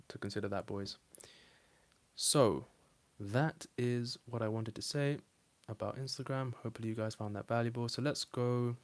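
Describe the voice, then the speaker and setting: Low, flat-voiced young man. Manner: relaxed, rambling, with many fillers and restarts. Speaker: dating coach. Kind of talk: casual talk to camera answering comments.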